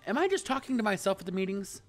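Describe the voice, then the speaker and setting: A man's voice: high-pitched, big pitch swings, quick pace, faint music behind, pitched above the performer's own voice. Man acting an exasperated, bossy young dorm leader. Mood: furious, scolding. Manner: indignant, commanding, emphatic. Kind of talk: visual novel character read-out.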